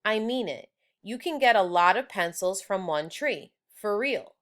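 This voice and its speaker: A woman's voice: medium pitch, expressive, unhurried, fairly bright tone. A woman reading the part of an earnest, determined third-grade schoolgirl in a children's storybook. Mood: earnest, eager.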